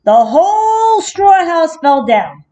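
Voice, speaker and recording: A woman's voice: extremely high pitch, sing-song, slow pace, warm tone, voice pushed up, heavily acted. A woman voicing a self-justifying, slyly innocent male wolf who tells his side of a children's story with chatty, dramatic indignation. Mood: confiding. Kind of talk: first-person children's storybook narration.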